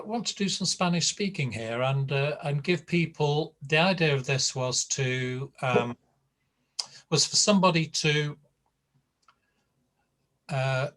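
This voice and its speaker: A man running a class over a video call speaks, unhurried and full of ums. His pitch sits in the middle, and his pace is very slow. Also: fairly bright tone. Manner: slow, hesitant, filler-heavy. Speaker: teacher. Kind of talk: online class.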